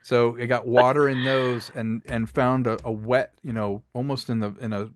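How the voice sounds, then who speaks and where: A man talks casually as an interview guest with a creative trade. His voice sits at a middle pitch, recorded dry with little room around it. He explains things like a storyteller, acting out the odd aside. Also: steady tone, normal speed, no room sound. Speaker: interview guest, filmmaker and audio drama creator.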